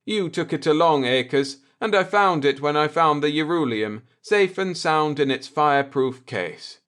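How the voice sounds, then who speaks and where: A man voicing a coolly vindictive spaceship navigator in an audiobook, deliberate and sardonic as he explains. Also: a little high, lively intonation, fairly bright tone, smooth. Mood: coolly triumphant.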